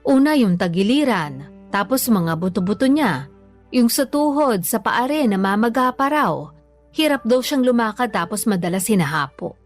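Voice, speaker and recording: An actress playing a worried, patient mother in a Filipino radio drama, pleading gently with a Filipino accent. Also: a little high, expressive, normal speed, soft background music, close to an everyday voice. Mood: troubled. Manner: pleading, concerned. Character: worried, patient, long-suffering mother and wife.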